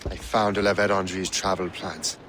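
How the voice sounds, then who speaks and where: A man's voice: low-pitched, little pitch movement, moderate pace, a bit bright, slight background noise. A man playing a determined young Irish sea captain in a video game cutscene, blunt and resolute. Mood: earnest, urgent.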